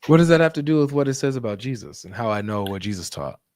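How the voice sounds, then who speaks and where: A man's voice: medium pitch, expressive, quick pace, darkish tone. A man hosting a live debate, asking probing, pointed questions.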